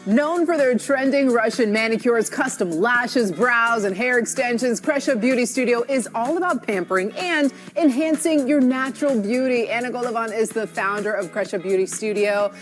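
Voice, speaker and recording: A woman's voice: fairly high pitch, moderate pace, few pauses, soft background music. A woman hosting a TV morning show segment, interviewing a guest in a bubbly, enthusiastic way.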